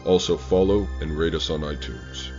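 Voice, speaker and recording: Extremely deep-voiced male podcast announcer. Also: fairly even intonation, normal speed, a little mellow. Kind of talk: scripted eerie radio-style sign-off. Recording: dull lo-fi sound over new-age music.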